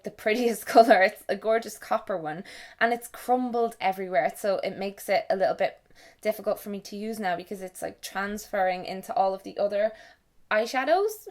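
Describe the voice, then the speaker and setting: A young Irish woman vlogging to camera in casual, self-mocking chat, swearing freely. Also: mid-range voice. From Ireland. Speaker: beauty and lifestyle vlogger.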